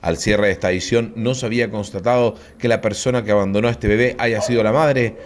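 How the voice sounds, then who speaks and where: A man's voice: lowish voice, steady tone, fast, a little hiss or hum. A man reading a scripted local news voice-over with a dramatic tone. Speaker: news reporter.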